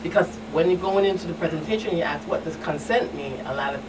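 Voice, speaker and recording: Caribbean woman guest on a talk show, lowish voice, retells convos, lots of background noise. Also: fast. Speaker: guest expert, community outreach specialist. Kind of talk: conversational talk show answers. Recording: distant mic, clear background noise.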